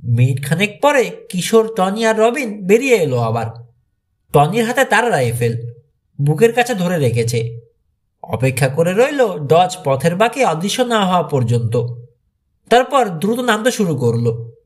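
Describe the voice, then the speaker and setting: Guy narrating an adventure mystery audiobook, kinda high voice, really animated delivery. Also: a little slow. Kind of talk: scripted dramatic narration of a mystery thriller.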